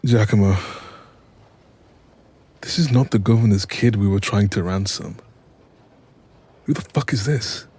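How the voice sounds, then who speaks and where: A man playing an apologetic, exasperated mafia boss in an audio roleplay, with a husky voice and a wry, sweary, chatty manner. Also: lowish voice, measured pace, pauses often, dark tone. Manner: wry, sweary, chatty, calls listener doll. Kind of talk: audio roleplay acting, intimate one-sided dialogue.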